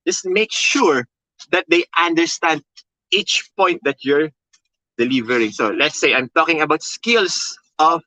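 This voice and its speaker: A man with a Filipino accent and a loud voice, teaching a motivational webinar with emphatic, high energy, repeating key phrases and often checking in with okay and all right. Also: expressive, unhurried, dry recording, low-quality recording. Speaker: content creation coach.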